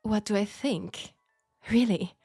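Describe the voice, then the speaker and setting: A woman with a French accent playing a frank, perceptive seamstress in an intimate steampunk audio drama, speaking softly and deliberately with pauses. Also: medium pitch, dry recording, studio quality, faint music behind, full character voice. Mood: earnest, compassionate. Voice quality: soft, breathy. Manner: hushed, deliberate, with pauses and breathy sighs. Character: frank, perceptive young Parisian seamstress, gently reproachful. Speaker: audio roleplay performer.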